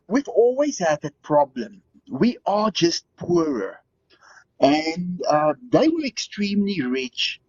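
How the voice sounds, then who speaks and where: A man's voice: on the high side, husky, no room sound, highs cut off. A man from South Africa with a South African accent, calling into a show and rambling with restarts and laughs. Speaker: caller. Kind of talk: casual call-in chat.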